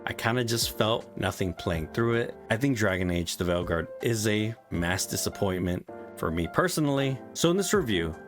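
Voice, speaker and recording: A man's voice: fairly low pitch, expressive, normal speed. A young man giving a frank, casual spoken game review, swearing freely, with faint music behind him.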